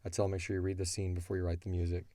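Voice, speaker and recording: A man's voice: deep voice, completely flat delivery, very fast, warm tone, dry recording. A middle-aged man hosting a casual question-and-answer chat as the creator of a show. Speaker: audio drama creator and show host.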